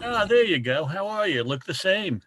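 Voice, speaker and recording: A man's voice: sits high, sing-song, moderate pace, a bit bright, a little hiss or hum. A man with a New Jersey accent, a guest speaker making friendly, joking small talk over a video call.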